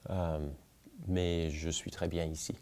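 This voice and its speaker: A man in his forties from the United States with an American accent, speaking haltingly and searching for words in an interview. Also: low voice, flat delivery, vocal fry, dark tone. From United States, Kentucky. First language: English. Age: 40s. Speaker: winemaker. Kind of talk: relaxed documentary interview, telling life story.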